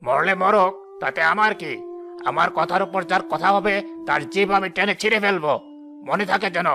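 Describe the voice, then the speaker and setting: A man's voice: high-pitched, normal speed. A man playing a cruel, arrogant village landlord in a cartoon, domineering and threatening as he gives commands. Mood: callous menace. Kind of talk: dramatic cartoon voice acting.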